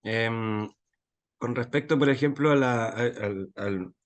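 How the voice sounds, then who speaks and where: Man, Chilean accent, class participant; halting and unsure, full of fillers. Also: mid-range voice. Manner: hesitant, halting, many fillers. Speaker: participant asking a question. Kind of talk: casual question in an online class.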